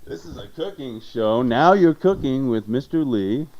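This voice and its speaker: A man's voice: medium pitch, animated, moderate pace, no room sound. A man co-hosting a talk show, bantering in a mock-bewildered, questioning way. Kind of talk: joking banter. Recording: muffled lo-fi audio with loud music.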